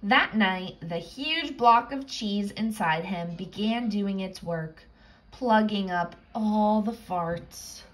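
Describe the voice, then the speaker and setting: A woman reading a children's picture book aloud, with expressive, suspenseful storytelling. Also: mid-range voice, takes it slowly, poor audio. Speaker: storybook reader.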